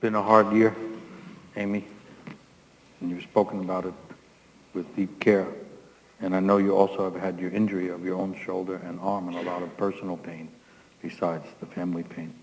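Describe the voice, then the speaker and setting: Man, ceremony leader, deep, flat intonation, encouraging address, echoey hall. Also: normal speed, long pauses, slight background noise. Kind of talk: spoken address to participants at a ceremony. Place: large hall with an audience. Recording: echoey hall, faint audience sounds.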